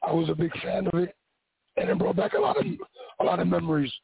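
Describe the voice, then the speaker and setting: A man from New York who has lost his voice, answering interview questions in a raspy, halting way with many pauses. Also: sits high, wildly swooping pitch. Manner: halting, many ums and repeats. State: hoarse, lost voice. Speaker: guest wrestler. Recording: tinny phone line.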